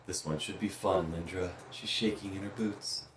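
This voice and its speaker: A woman's voice: fairly even intonation, moderate pace. A woman voicing a sneering male elf henchman in a fantasy visual novel, mocking his prey. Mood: mocking, amused. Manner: low, mocking. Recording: distant, echoey, with background noise.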